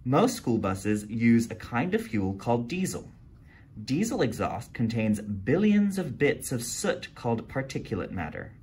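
A man reads a picture book aloud for young kids, his pitch swinging widely as he brings the story to life. His voice has a husky edge to it, and he leaps upward for each character's line. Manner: animated read-aloud, jumps high for character quotes. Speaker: storytime reader.

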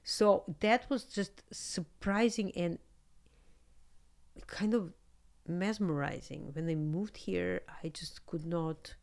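Serbian-accented woman, 30s, low gravelly voice, candid and animated podcast guest, close studio mic. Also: slow. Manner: candid, animated, laughs often. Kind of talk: casual interview chat. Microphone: studio mic on boom arm, headphones.

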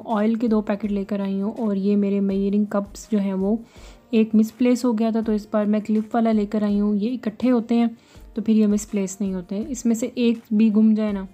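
A woman's voice: medium pitch, warm tone. A woman giving a chatty home vlog voice-over, explaining her shopping and a product step by step. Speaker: vlogger.

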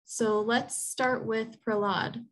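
A woman's voice: on the high side, fairly even intonation, slow pace, a bit bright. A woman in her thirties moderating a panel, introducing the speakers in an organized way with frequent um's, over earphones on a video call. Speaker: program coordinator, moderator. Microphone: earphones with mic on a video call.

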